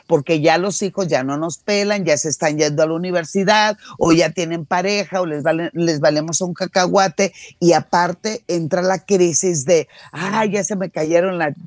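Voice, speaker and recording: A woman's voice: lowish voice, darkish tone, no room sound, studio quality. A middle-aged woman, giving conversational expert advice and repeating words emphatically for stress. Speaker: sexologist, expert guest.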